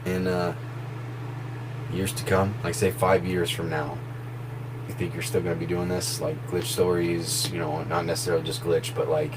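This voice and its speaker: A man with a very deep, low voice talks loosely on a live stream, drifting from thought to thought and laughing easily. A steady hum runs clearly underneath the recording. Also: normal speed. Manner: relaxed, rambling, laughs easily. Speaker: horror story narrator and voice actor. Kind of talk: casual live stream chat. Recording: noisy background hum.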